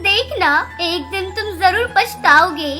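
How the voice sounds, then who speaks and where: An anxious high mother bird. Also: animated, unhurried, sharp tone, exaggerated voice. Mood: pleading. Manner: pleading, anxious. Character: worried gentle mother sparrow, soft-spoken. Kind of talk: Hindi cartoon voice acting. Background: background music.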